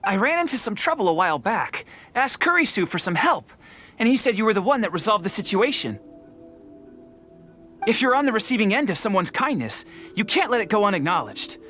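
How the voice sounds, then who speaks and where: An actor voicing a grateful, high-energy male merchant in game dialogue, eager and effusive. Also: very animated, brisk, bright, forceful tone, heavily acted.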